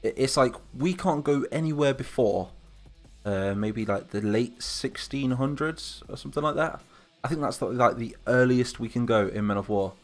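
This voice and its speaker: Chatty English streamer reading chat. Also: male voice, medium pitch, moderate pace, soft background music. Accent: Lincolnshire English. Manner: chatty, rambling, reads chat comments aloud. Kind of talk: live stream chat while building a game map.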